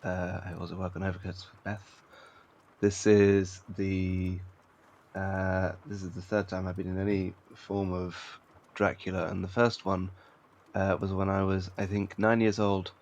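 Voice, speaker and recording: A man's voice: low-pitched, flat delivery, measured pace, a little mellow, muffled recording. A man, an actor, chatting casually and telling anecdotes as an interview guest.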